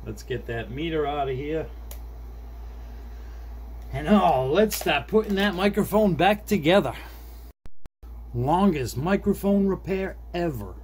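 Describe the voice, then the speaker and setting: Middle aged guy kinda muttering thru a repair at his desk, slow talker, bit of background noise. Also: fairly high pitch, expressive, a little mellow. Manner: unhurried, muttering to self while working. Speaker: hobbyist repair video host. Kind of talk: casual hands-on demonstration, narrating as they work. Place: small indoor desk workspace.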